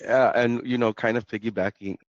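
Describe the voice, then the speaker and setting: A man's voice: mid-range voice, fairly even intonation, a little fast, no room sound, dull audio. A man with a cold and a nasal sound, hosting a podcast interview in a conversational way with some fillers and restarts. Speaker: podcast host.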